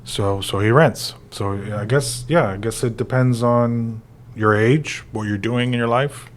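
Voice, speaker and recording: A man's voice: mid-range voice, a little slow, slight background noise. A man in his forties, co-hosting a real estate chat show, talking casually with lots of fillers. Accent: American. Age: 40s. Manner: relaxed, rambling with many fillers. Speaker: real estate podcast co-host.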